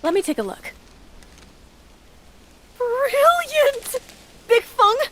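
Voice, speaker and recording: A woman's voice: very high voice, very animated, extremely slow, heavily acted. A woman voicing a playful, encouraging young sidekick in a video game cutscene. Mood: admiring, playful. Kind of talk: animated game cutscene dialogue. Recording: loud music behind the voice.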